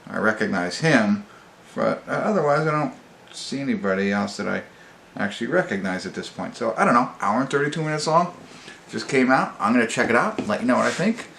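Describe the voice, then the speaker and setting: Man, movie review host, gravelly fry, casual and rambling, halting delivery, roomy sound with faint background noise. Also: medium pitch, lively intonation, fairly bright tone.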